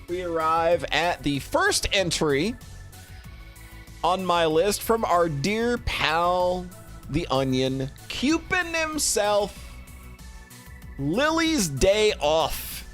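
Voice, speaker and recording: Higher-voiced man, casual streamer with music behind. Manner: expressive, effusive, swears casually. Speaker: streamer reviewing games. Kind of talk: casual rambling commentary to live chat.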